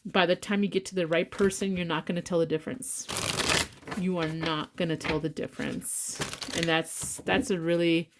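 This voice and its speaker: A woman's voice: on the low side, steady tone, normal speed, very noisy. A congested woman giving a casual tarot reading, talking bluntly with rambling asides and swearing freely. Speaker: tarot reader.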